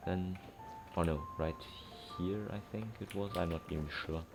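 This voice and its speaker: Low-voiced man rambling over a game. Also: steady tone, moderate pace. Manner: rambling, self-correcting, thinking aloud. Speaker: gaming streamer. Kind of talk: casual let's play commentary. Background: game music.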